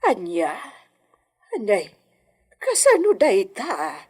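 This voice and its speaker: Woman performing middle-aged mother, radio drama, gentle, anxious, slow-paced, husky voice. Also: mid-range voice, wildly swooping pitch, exaggerated voice. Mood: anxious, caring. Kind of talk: Ilocano radio drama acting.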